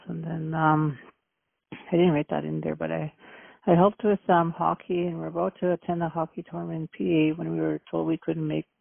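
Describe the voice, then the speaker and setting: A middle-aged woman from Manitoba, Canada, answering interview questions by phone in an earnest, halting way. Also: sits low, creaky, no echo. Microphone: telephone. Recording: tinny phone line.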